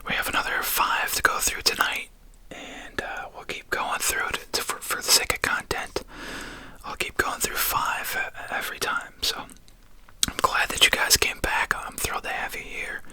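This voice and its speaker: An ASMR host speaks entirely in a breathy, unvoiced whisper with a bright, hissy edge. The delivery is casual and conversational, halting now and then like unscripted chat to viewers. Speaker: video host.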